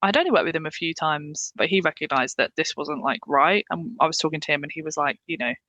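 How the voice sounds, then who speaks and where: A young English woman, a paramedic, talking candidly in an interview, chatty and quick to laugh with lots of fillers. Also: low-pitched, sharp tone, no room sound. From England. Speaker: paramedic guest.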